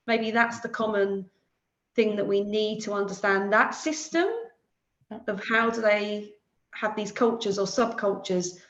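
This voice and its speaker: A woman's voice: medium pitch, steady tone, measured pace, fairly bright tone. A woman on an academic panel, thinking aloud in a reflective way. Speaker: panel participant, researcher. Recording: echoey, dull-sounding room.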